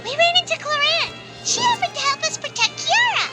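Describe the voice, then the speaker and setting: A woman voicing a small, chirpy, childlike fairy companion in a video game, with a squeaky voice. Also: big pitch swings, a little fast, over loud music. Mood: upbeat.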